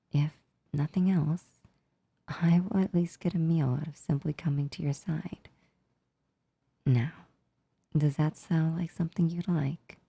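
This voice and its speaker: A soothing, affectionate demoness acted by a woman for an ASMR roleplay. Her soft, breathy voice sits low with a gravelly fry. She reassures tenderly and intimately, very close and dry. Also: no room sound, exaggerated voice. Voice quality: soft, breathy, often near whispering. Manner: slow, soothing, tender, unhurried. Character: gentle, comforting female sleep paralysis demon, affectionate and reassuring.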